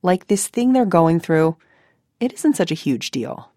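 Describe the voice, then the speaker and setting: An American woman with a lowish, very soft-edged mellow voice narrates as a podcast host. The sound is dry and close, recorded in a studio. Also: big pitch swings, measured pace, dry recording. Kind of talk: scripted narration and interviewing.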